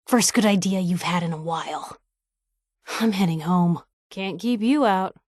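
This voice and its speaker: An actress playing a plucky, principled young woman reporter in a video game, speaking in a candid, wry, expressive, slangy way. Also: medium pitch, no echo, studio quality, close to an everyday voice. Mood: wry, teasing. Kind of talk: video game companion dialogue, conversational storytelling.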